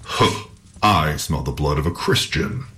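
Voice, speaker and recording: Man playing a huge menacing storybook troll, low voice. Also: measured pace, sharp tone, full character voice. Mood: menacing hunger. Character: huge fat many-headed male troll, menacing, bloodthirsty.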